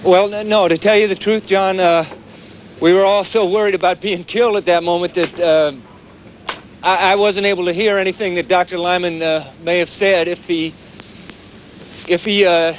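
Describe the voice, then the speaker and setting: Male actor, high-pitched TV drama reporter, shaken and halting, on a faintly noisy outdoor live feed. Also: fairly even intonation, close to an everyday voice. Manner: halting, stammering. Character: shaken male field reporter, dazed after surviving a hostage ordeal. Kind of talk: live on-scene news report. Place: outdoors on a city dock.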